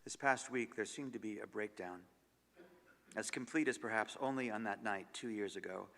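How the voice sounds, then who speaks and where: Creaky-voiced man reading in a hall. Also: fairly low pitch. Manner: measured and expressive, dropping to near-whispers on trailing phrases. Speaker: actor giving a stage reading. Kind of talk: dramatic literary reading of diary entries. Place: large concert hall. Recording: long hall reverb.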